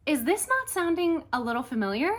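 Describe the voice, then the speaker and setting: A woman with a cold, a little nasal, chatting casually in a vlog and often dropping to a soft whisper. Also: high-pitched, big pitch swings, fairly quick, faint music behind. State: has a cold. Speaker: writer and vlogger.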